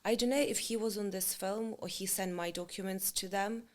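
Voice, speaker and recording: A woman actress being interviewed, speaking English in a relaxed, halting way and slipping in Serbian words. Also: medium pitch. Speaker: actress, guest. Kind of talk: casual interview chat.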